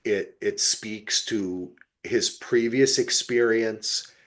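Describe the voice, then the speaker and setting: A man's voice: fairly low pitch, slow, a bit bright. A man co-hosting a podcast, chatting casually and emphatically as an enthusiastic fan, with plenty of swearing. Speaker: podcast co-host. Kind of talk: casual fan chat about TV.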